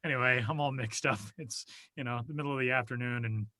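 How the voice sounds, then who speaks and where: Man, podcast co-host, very bright voice, dry close sound, rambling self-mocking chat. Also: medium pitch, fast talker, no echo. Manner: rambling, self-deprecating, joking. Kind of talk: casual chat. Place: small soundproofed closet studio. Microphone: home studio mic over video call.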